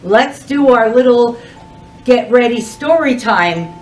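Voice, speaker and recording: Slow, lilting woman reading to children. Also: a little high, distant mic, soft background music. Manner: sing-song, deliberate, expressive storyteller delivery. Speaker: library storytime host. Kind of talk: children's storytime read-aloud, addressing young kids.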